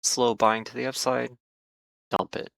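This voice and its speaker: A man hosting market commentary for viewers, speaking in a measured, cautious way with frequent ums and repeated words while losing his voice. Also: medium pitch, flat delivery, creaky, a bit bright, no echo. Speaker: market analysis host.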